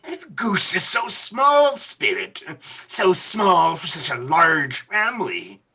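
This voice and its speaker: An actor playing a humbled, anxious old man in a radio play, raspy, earnest and pleading. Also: medium pitch, sing-song, slow, pushed and bright, exaggerated voice.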